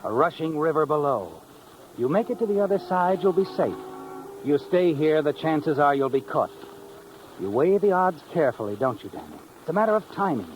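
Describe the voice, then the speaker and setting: A man acting as an American narrator of a 1950s radio thriller, mocking and ominous, with dramatic pauses. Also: fairly high pitch, sing-song, slight background noise, poor audio. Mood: taunting, tense. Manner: taunting second-person address with dramatic pauses. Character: mocking, ominous male storyteller of a crime thriller. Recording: old radio with dramatic music behind.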